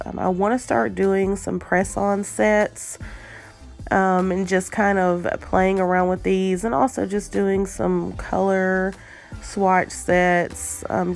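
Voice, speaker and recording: Casual vlogger, female, stuffy cold voice, gravelly, lots of ums, faint music behind. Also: on the low side. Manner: chatty, frequent ums, relaxed. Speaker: nail art vlogger. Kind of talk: casual unboxing chat.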